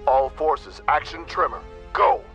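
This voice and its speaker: A man's voice: on the high side, wildly swooping pitch, a little slow, gravelly, pushed and bright, lo-fi sound, full character voice. A man playing a savage, zealous beast of a war leader, bellowing battle oratory in an audio drama. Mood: fierce command. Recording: band-limited, faint music underneath.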